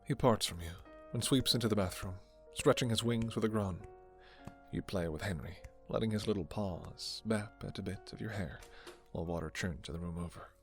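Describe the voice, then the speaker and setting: Low-pitched man narrating a story. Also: moderate pace, soft background music. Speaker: narrator and voice performer. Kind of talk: scripted second-person story narration.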